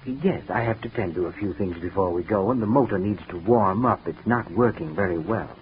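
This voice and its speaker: An actor playing a moody composer with a quietly sinister, coaxing and measured manner in a 1940s radio mystery. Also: male voice, low-pitched, close to an everyday voice. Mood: calmly persuasive. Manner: measured, coaxing, outwardly solicitous. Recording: dull, noisy 1940s radio recording.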